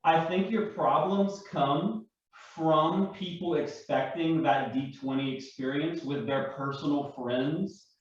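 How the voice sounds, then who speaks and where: Guy thinking out loud on a panel, stop-start explaining, super roomy dull mic from a bit away. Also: a little high, takes it slowly, few pauses. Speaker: panel guest and larper. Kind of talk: unscripted panel discussion. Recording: echoey, distant, dull microphone.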